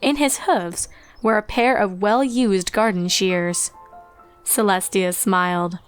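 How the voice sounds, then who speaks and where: A woman narrates a story in a scripted storybook style, with a mid-to-low pitch for a female voice. Music plays behind her. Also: takes it slowly. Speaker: fan fiction narrator.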